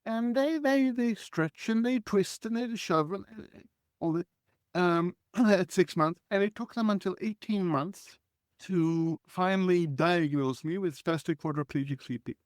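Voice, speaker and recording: A man's voice: high-pitched, a little slow, no echo. Man, forties, from Israel, Hebrew speaker, earnest guest storytelling, dry close sound. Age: 40s. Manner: earnest, reflective, clears throat often. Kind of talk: conversational life storytelling.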